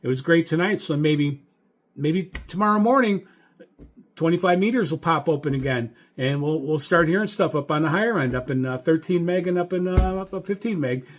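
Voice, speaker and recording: Halting, folksy American radio hobbyist man. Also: on the high side. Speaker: amateur radio hobbyist and channel host. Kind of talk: casual video update monologue.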